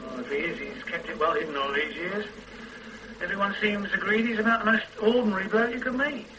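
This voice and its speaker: An actor playing an earnest young police constable in a radio drama. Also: male voice, high voice, a little fast, far from the mic, background noise, natural voice.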